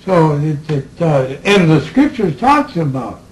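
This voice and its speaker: A man talking slowly and reflectively to a small group in a room, recorded on tape, with laughs between his remarks. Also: on the high side, very animated, poor audio. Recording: old tape recording with faint hiss.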